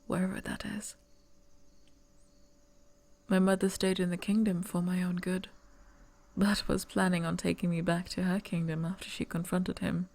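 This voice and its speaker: A woman voicing a young noblewoman who would be heir, whispering softly and stammering when flustered, in an ASMR roleplay. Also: lowish voice, little pitch movement, normal speed, gravelly, long pauses. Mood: wistful.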